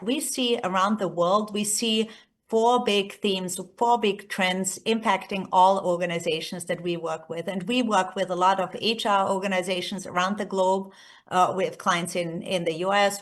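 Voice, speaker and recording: A woman's voice: medium pitch, a bit bright. This is a woman with an Austrian accent and German as her first language. Her voice sits in a middle register. She speaks as an executive presenting a keynote to a professional conference, talking through prepared points in her own words. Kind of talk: conference presentation, semi-scripted. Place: remote presentation by screen share.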